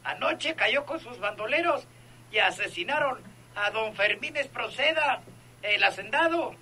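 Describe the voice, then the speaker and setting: A man's voice: extremely high pitch, lively intonation, measured pace, pushed and bright, a little hiss or hum, full character voice. A man playing an officious, commanding town mayor on a call in an old radio drama. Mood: urgent, commanding. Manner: hesitant openers, officious.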